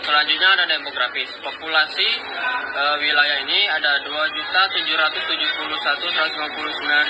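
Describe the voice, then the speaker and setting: Young male student presenter, slightly high pitch, reading out a class presentation, noticeably noisy audio. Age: teenager or young adult. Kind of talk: school slide presentation. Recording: clearly noisy amateur recording.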